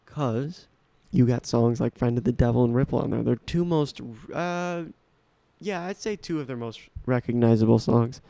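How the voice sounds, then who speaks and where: Young male podcast host, nineteen, gravelly and mellow, rambling and eager, dry close mic. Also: mid-range voice, moderate pace, dry recording. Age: young adult. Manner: rambling, enthusiastic, restarts often. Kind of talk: casual solo talk about music.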